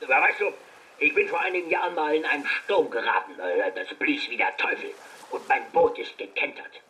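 An actor playing a fearsome, gruff pirate captain with a grudge against the sea, blustering in a children's radio play. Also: male voice, on the high side, brisk, sharp tone, low-quality recording. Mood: gruffly boastful.

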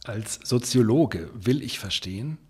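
A male radio host reading a scripted introduction, then interviewing a guest in measured tones. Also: medium pitch, expressive, breathy edge. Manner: measured, sentence prompts.

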